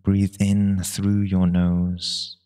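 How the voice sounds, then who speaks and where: A man with a deep voice guides a meditation at an extremely slow pace. His delivery is calm and very even, with little rise or fall. He gives gentle instructions in short phrases, leaving long pauses between them. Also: clean tone.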